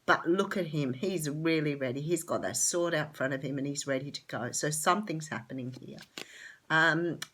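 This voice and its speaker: Nasal, low-voiced Australian woman. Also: moderate pace, a bit bright, slight background noise. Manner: chatty, enthusiastic, many ums. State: nasal and sore-throated from a cold. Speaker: tarot reader. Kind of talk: casual tarot reading to camera.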